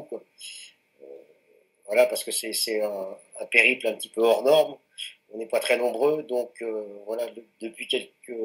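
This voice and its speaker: French guy in his late 40s, chatty mountaineer, lots of ums and restarts, average pitch. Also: fairly bright tone, low-quality recording. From France, Anjou region. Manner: hesitant, many fillers and restarts. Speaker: mountaineer guest. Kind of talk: casual interview answers.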